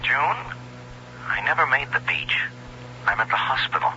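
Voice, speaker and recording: Shaken husband on a phone line, halting, very tinny sharp voice, old lo-fi radio sound. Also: male voice, mid-range voice, expressive. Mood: shaken, reassuring. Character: shaken husband reporting by telephone. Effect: telephone filter. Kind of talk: old-time radio drama acting. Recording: dull noisy radio recording, telephone filter.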